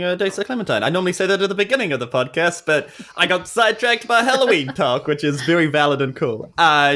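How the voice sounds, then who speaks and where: A man's voice: fairly high pitch, pushed and bright. A man chatting casually as a podcast player, jokey and self-deprecating. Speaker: podcast player and guest speaker. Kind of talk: casual chat.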